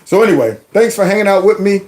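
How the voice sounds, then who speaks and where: A middle-aged man hosting a commentary show, mocking and animated with loud outbursts. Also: high voice, quick pace. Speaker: host. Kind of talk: commentary vlog reacting to clips.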